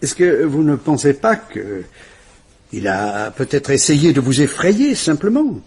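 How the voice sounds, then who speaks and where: A man's voice: a little high, very animated, measured pace, a little mellow, slightly rough, exaggerated voice. An actor playing a police commissioner who questions a frightened woman in a French radio drama. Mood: questioning.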